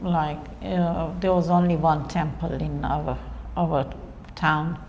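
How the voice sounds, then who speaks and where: Gravelly, slow-talking woman in a reverberant room. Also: low voice, fairly even intonation, darkish tone, muffled recording. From India, raised in Kenya. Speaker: interviewee. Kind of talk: personal storytelling. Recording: reverberant room with faint noise.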